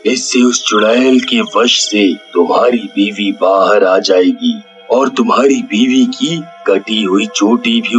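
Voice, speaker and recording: A man plays a calm, wise holy man in a cartoon tale, instructing in a low and very unhurried voice. The sound is slightly dull, with faint music behind. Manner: slow, instructive. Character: wise holy old village sage, calm helper.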